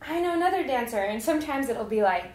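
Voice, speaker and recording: A young woman speaks as a podcast host with a fairly high voice. Her talk is casual, slangy and effusive, like a chat between peers. The room adds a noticeable echo around her words. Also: animated, fast talker, darkish tone. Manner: effusive, informal slangy speech. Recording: roomy, echoey sound.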